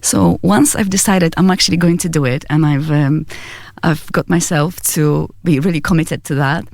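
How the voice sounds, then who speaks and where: A woman in her thirties from Poland with a Polish accent, a singer-songwriter answering interview questions softly in a small radio studio on a boom mic. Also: moderate pace, vocal fry, no room sound. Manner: soft-spoken, laughs often, hesitant fillers. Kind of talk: casual interview chat.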